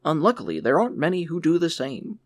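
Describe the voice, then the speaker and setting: A man's voice: a little high, very animated, fast talker, no room sound, clean studio sound. A man playing a courteous, hearty staff manager in an audiobook, speaking emphatically and welcomingly. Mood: hearty, approving. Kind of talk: audiobook character voice.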